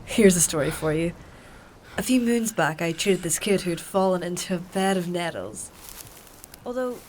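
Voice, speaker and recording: A woman acts a practical, wry healer on the road. Her voice sits a little low, and she talks in a dry, conversational, stubborn way. The fantasy audio drama has faint noise underneath her. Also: expressive, moderate pace, darkish tone, lightly acted. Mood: coaxing. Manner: dry, matter-of-fact, conversational. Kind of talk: dark fantasy audio drama dialogue and narration.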